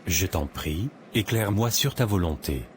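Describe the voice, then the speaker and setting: A man's voice: deep voice, lively intonation, normal speed, soft background music. A man playing an earnest, troubled young man. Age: young adult.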